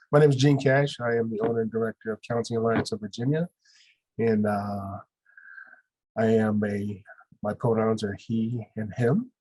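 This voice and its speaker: A man's voice: lowish voice, a little mellow, husky, low-quality recording. A man who directs a counseling practice, introducing himself formally and sincerely on a video call. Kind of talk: casual self-introduction.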